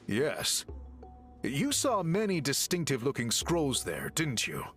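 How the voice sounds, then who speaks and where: Learned male scroll scholar; formal, patient explanations with an animated lilt over soft game music. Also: medium pitch, slow, heavily acted. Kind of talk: game dialogue.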